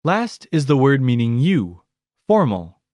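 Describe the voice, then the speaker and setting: A male narrator for a language course, reading scripted prompts in an even, orderly pattern. His pitch moves a lot, and the sound is dry and close. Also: a little slow, dry recording. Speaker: lesson narrator.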